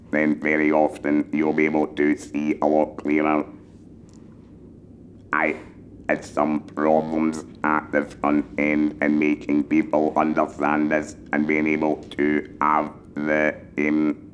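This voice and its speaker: A man speaking through an electrolarynx with a buzzing, mechanical monotone, carefully enunciating as he explains and gives advice in measured phrases. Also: a bass voice, slight background noise. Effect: mechanical electrolarynx vibration. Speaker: patient mentor for electrolarynx users. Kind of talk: explanatory talk giving advice.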